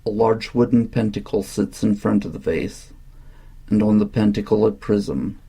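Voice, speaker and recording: A man narrating a scripted guided meditation in a slow, calm, soothing and measured manner, with a husky, gravelly voice. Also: fairly low pitch.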